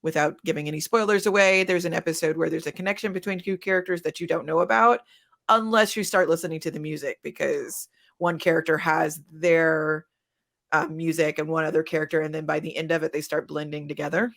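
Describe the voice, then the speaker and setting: Woman panelist, audio fiction creator. Low register, conversational, expressive delivery. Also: a little fast, gravelly. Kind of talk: casual panel talk.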